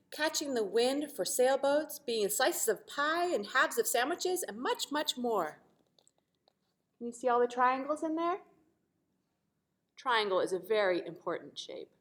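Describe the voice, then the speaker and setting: A woman teacher reading a storybook expressively to children, pausing to explain simply and ask questions. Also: high voice. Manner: animated, asks the listeners questions.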